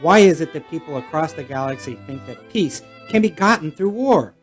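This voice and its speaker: An earnest, squeaky-clean space hero played by a man from a retro radio serial parody. He declaims corny lines with animated, swinging pitch and impeccable manners, over faint music. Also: normal speed, natural voice. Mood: earnest pleading. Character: earnest, wholesome boyish space hero, gee-whiz good manners.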